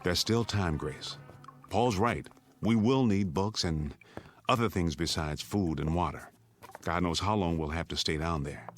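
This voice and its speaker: A man's voice: sits low, measured pace, faint music behind. An American man acting in a radio drama as an urgent, middle-aged father who takes charge and steadies his family. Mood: steadying, tense.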